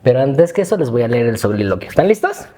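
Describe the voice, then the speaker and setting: A man's voice: mid-range voice, very animated, fast, darkish tone, a little hiss or hum. A man in his thirties with a Mexican accent, co-hosting a podcast in a chatty, joking way and reading out his own essay. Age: 30s. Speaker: podcast co-host. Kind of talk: casual podcast chat.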